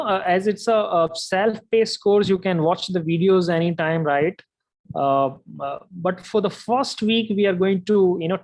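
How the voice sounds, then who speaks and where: Higher-voiced Indian man answering questions. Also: poor audio. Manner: reassuring, repeatedly tagging "right?" and "you know". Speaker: coding course instructor. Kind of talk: live webinar Q&A, answering viewer questions aloud.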